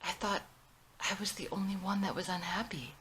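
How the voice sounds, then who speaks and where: A woman's voice: medium pitch, normal speed, fairly bright tone, slight background noise, close to an everyday voice. A woman reading the part of an anxious, disillusioned young photographer in a drama script, hesitant and emotional. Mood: quietly hurt.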